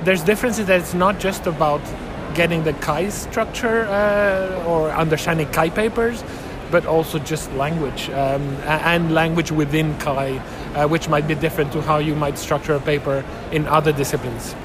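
A man whose first language is Spanish, answering interview questions in a halting way with many fillers and restarts. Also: a little high, steady tone, crowd noise. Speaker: interviewee, researcher.

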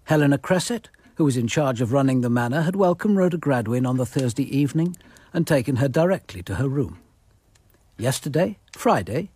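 An authoritative police commander in a radio drama. His husky voice moves with lively intonation in a very dry recording. He is measured and formal, courteous but firm. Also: fairly high pitch, dry recording. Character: composed, authoritative male police commander questioning suspects. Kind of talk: radio drama acting and first-person narration.

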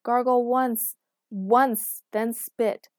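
A woman's voice: medium pitch, a little fast, darkish tone, dry recording, studio quality, lightly acted. A woman voicing an irritable, sleepy, stubborn young woman with a whiny, grumbling manner in an audiobook. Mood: annoyed. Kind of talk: acted audiobook dialogue.

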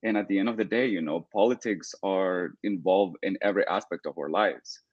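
A young man from El Salvador, speaking as a guest in an interview. He talks earnestly and deliberately, with many "uh" and "you know" fillers. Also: sits low, no echo, muffled recording. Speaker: guest, political activist. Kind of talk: podcast interview.

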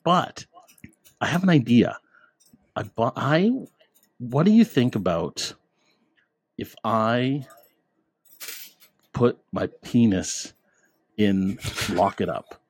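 Husky guy hosting a podcast, dry close mic, jokes around and stumbles over words. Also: medium pitch, expressive, slow pace, pauses often, dry recording. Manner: jokey, laughs often, trips over words. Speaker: podcast host. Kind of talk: casual chat.

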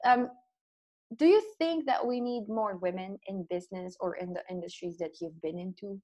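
A woman's voice: mid-range voice, moderate pace, mellow. A woman hosting a podcast interview, asking questions in a casual, supportive way and agreeing often. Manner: supportive, with frequent agreeing back-channels. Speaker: podcast host.

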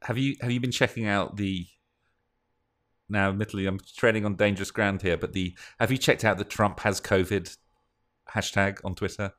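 A man with a somewhat low voice co-hosts a podcast. He talks in a casual, conversational way, as in an informal chat between two people. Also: steady tone, moderate pace, fairly bright tone. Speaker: podcast co-host.

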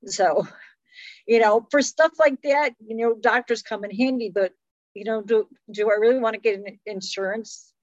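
A woman's voice: fairly high pitch, normal speed, no room sound, dull audio. A woman in her late fifties hosting a casual health interview in a chatty, conversational way, often saying "you know". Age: late 50s. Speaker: host.

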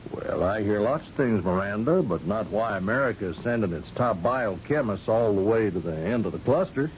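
A man's voice: fairly low pitch, animated, moderate pace, close to an everyday voice. A man in an old radio drama playing a folksy, genial old governor of a space colony, wry and rambling with a cowboy streak. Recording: dull, noisy old radio recording.

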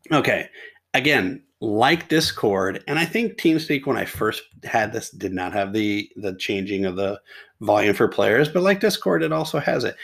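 A middle-aged man with a sore, hoarse throat, hosting a podcast and reviewing chat apps in a rambling, casual way full of restarts and asides. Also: fairly low pitch, a bit bright. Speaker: podcast host. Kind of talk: casual tech review monologue.

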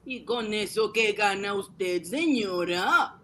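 Radio drama: doubtful male bystander acted, hesitant, very high, lilting, slow. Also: lively intonation, a bit bright, full character voice.

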